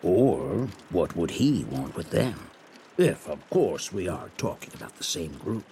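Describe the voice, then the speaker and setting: An actor playing a sardonic, world-weary 1930s private detective in a noir audio drama, with a dry, wry, measured delivery. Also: male voice, sits low, sing-song, mellow, slight background noise, heavily acted. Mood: measured, intrigued.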